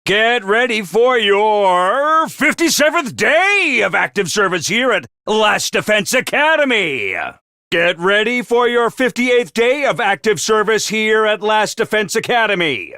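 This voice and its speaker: An actor voicing a chipper, unhinged male academy announcer who gives bubbly announcements and bursts into gleeful shouting. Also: high-pitched, lively intonation, measured pace, clear, resonant tone, dry recording, clean studio sound, exaggerated voice. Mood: cheery. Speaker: game character announcer. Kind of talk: video game voice lines.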